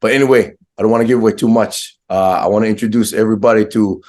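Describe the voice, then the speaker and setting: A man with a slightly hoarse voice hosting a casual, brotherly interview in informal slang. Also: fairly low pitch, steady tone, fast talker, a little mellow. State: hoarse, recovering from a viral infection. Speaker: podcast host.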